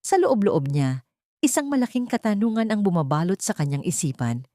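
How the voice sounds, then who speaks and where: A woman narrating a dramatic audiobook in a steady, somber storytelling manner. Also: mid-range voice, brisk, no echo, clean studio sound.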